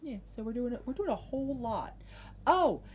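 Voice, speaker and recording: A woman's voice: on the high side, lively intonation, moderate pace, darkish tone, slight background noise. A woman chatting casually as a game master telling people about the shows they put on. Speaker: tabletop game master promoting own shows. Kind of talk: casual chat.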